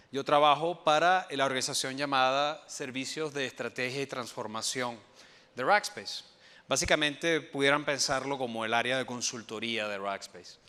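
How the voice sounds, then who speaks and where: A man from Venezuela with a Venezuelan accent, giving a conference presentation as an enterprise architect, pausing often with fillers and restarts. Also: mid-range voice, pushed and bright. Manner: hesitant, many fillers and restarts. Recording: long hall reverb.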